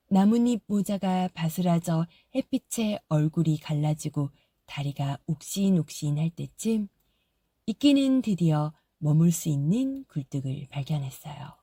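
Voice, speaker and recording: Soft, husky woman reading a fairy tale. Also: on the low side, dry recording, clean studio sound. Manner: soft, gentle storytelling. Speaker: audiobook narrator. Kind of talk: scripted storybook narration.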